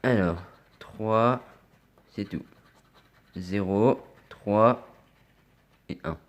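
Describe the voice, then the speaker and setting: A man's voice: fairly low pitch, very slow. A man with a cold chatting casually to viewers as he scratches lottery tickets, often muttering and spelling out letters one at a time. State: has a cold. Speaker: scratch card vlogger.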